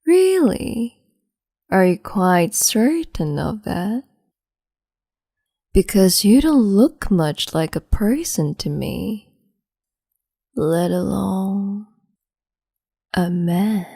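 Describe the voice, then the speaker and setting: A woman voicing a cruel, capricious empress in an ASMR roleplay, speaking slowly and mockingly and slipping into whispers. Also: mid-range voice, expressive, gravelly, warm tone, slightly rough, no echo, studio quality. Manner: slow, mocking, condescending, drifts into whispers.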